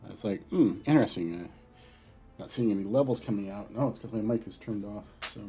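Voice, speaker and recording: Middle-aged male hobbyist host, stuffy from a cold, low voice, relaxed and chatty, faint music underneath. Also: a little fast. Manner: relaxed, chatty, thinking aloud while crafting. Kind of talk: casual chat while building a model.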